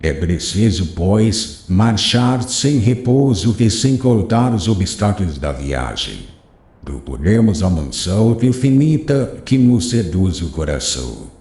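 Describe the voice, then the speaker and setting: A man voicing a wise, gentle old rabbi teacher who consoles his pupil in a slow, solemn preaching cadence. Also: sits low, big pitch swings, pitched below the performer's own voice, exaggerated voice. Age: older. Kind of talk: audiobook character reading. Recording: roomy echo.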